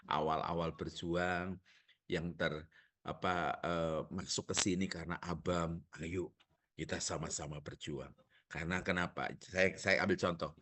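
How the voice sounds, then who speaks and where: A man's voice: low-pitched, fairly bright tone. A male pastor with a Javanese accent preaching a sermon, asking the congregation rhetorical questions and joking.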